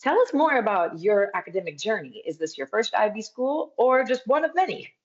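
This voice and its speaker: A woman moderating an online school open house, guiding the audience step by step in a lively way over video call. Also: mid-range voice, a little fast. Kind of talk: upbeat event hosting. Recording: dull lo-fi video-call audio.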